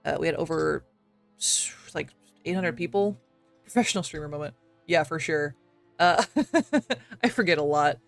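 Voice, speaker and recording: A man chats casually on a live game stream, his voice slightly raspy from coughing and gravelly at the edges. He talks in a fairly high register, full of fillers and little self-deprecating laughs. Faint music plays underneath. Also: moderate pace, long pauses, a bit bright, no echo. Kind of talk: casual live-stream chat while gaming.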